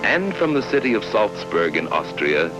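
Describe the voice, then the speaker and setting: Old 50s film narrator guy, low voice, very up and down storytelling, music playing behind. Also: big pitch swings, normal speed, rough recording. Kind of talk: scripted 1950s educational film narration, storytelling. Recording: vintage 1950s film soundtrack.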